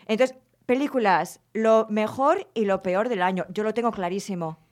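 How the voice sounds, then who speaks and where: A woman's voice: mid-range voice, moderate pace. A woman hosting a casual podcast chat, talking with enthusiasm and emphasis. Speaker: podcast host.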